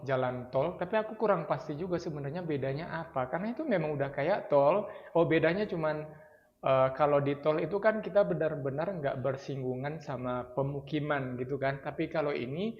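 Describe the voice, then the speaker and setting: A man from Sumatra in Indonesia, chatting casually and thoughtfully about his travels, slipping in English phrases. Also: a little high. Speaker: traveller sharing impressions. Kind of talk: casual chat. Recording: dull lo-fi sound.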